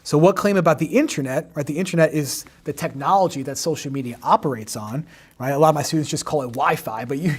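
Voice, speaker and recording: Fortysomething teacher guy, a bit hoarse, goes "right?" a lot, kinda high voice. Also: a little mellow. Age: 40s. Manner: explanatory, peppers sentences with "right?". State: slightly hoarse after a teaching day. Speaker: history teacher. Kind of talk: livestream exam review lesson. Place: home in the suburbs.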